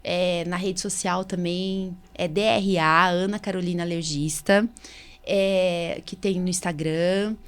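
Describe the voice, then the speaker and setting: A woman's voice: fairly low pitch, fairly even intonation, slow pace, clear, resonant tone. A woman with a Brazilian Portuguese accent talking casually and informally as a podcast host, in a chatty conversational way. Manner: chatty, informal, recounts exchanges in acted-out dialogue. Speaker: podcast host, allergist doctor.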